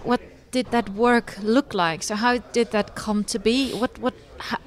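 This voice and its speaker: Slow-paced woman interviewing. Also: on the high side, steady tone. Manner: appreciative, encouraging. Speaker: podcast interviewer. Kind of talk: conversational interview.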